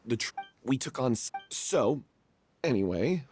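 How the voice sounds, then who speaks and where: A man's voice: medium pitch, expressive, a little slow, darkish tone, slight background noise, natural voice. An actor playing an anxious, guilt-ridden young man in a video game, speaking hesitantly over music. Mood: guilty, hesitant. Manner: hesitant, stammering, sighing. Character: remorseful, anxious young man, self-confessed coward. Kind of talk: video game dramatic voice acting. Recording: background music under the voice.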